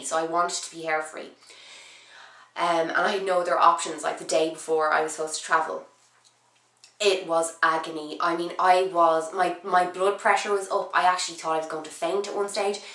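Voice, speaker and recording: A young woman with a cold, talking casually and at length as a beauty vlogger, her voice husky from being ill, with many ums and restarts. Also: lowish voice, pushed and bright, echoey room, poor audio. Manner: chatty, rambling, frequent "um" and restarts. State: sick, voice husky and low. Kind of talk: casual chat to camera.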